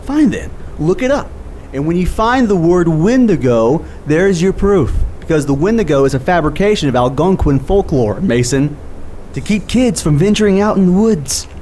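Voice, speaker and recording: A flustered, argumentative skeptic played by a male actor in an audio drama. His voice is somewhat high for a man and swings widely in pitch as he stammers in exasperation, informal and excitable. There is faint noise in the recording. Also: moderate pace, close to an everyday voice. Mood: challenging.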